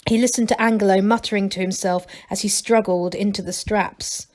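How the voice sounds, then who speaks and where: A woman reading a children's story aloud as a teacher would, in expressive narration. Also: medium pitch, brisk.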